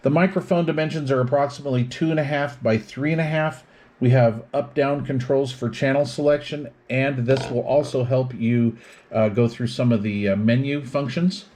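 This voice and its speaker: Male product reviewer, mid-pitched, methodical explainer walking through features in short, itemized phrases. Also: fairly even intonation, normal speed, a little mellow. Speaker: product reviewer demonstrating radio gear.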